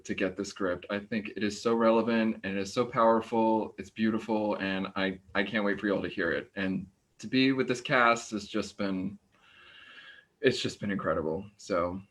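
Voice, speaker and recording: Low-voiced, enthusiastic man. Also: fairly even intonation, normal speed, a bit bright. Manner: gushing, enthusiastic. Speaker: stage actor. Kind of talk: casual self-introduction.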